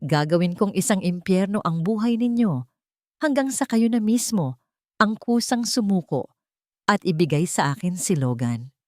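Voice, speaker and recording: A woman's voice: on the low side, animated, normal speed, no echo, studio quality, lightly acted. A woman voicing a cold, proud, rich old grandmother who speaks in an imperious, threatening way in an audio story. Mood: menacing. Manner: menacing, imperious.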